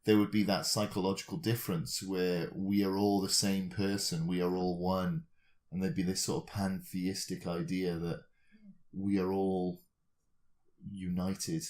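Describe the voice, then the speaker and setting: A man's voice: deep voice, little pitch movement, unhurried, a little mellow. A man co-hosting a podcast, thinking aloud in a rambling, hesitant, dryly joking way. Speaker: podcast co-host. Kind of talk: casual chat, speculative musing.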